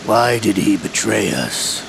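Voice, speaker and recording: Game villain: older, cunning, low and very gravelly, measured delivery, noisy hissing background. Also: male voice, animated, heavily acted. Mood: measured, sly. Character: sly older male villain, coolly deferential henchman. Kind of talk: video game cutscene acting.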